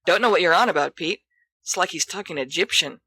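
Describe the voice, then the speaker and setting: A woman's voice: on the low side, a little fast, sharp tone, husky, heavily acted. A woman voicing an imperious, flippant teenage schoolboy with a commanding, teasing manner in an audiobook story. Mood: scornful.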